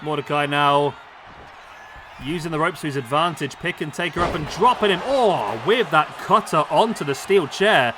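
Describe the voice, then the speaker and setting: A man with a hoarse, worn voice giving excitable play-by-play commentary on a video game match. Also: a little high, normal speed. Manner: excitable, bursts of exclamation. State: hoarse, losing his voice. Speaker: gaming YouTuber. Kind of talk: play-by-play commentary over a simulated wrestling match. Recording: clear background noise under voice.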